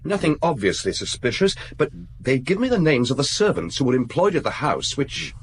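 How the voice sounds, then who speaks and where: An actor playing a dutiful young police assistant in a radio drama, reporting to his chief in a deferential, brisk way. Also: fairly high pitch, sing-song, a little hiss or hum. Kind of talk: 1990s BBC radio drama acting.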